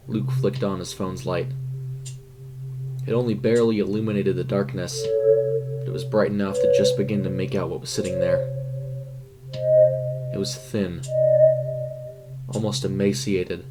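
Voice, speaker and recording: A man's voice: low-pitched, level tone, moderate pace, pauses often, loud music behind the voice. A man narrating a scripted horror story. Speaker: narrator and voice performer.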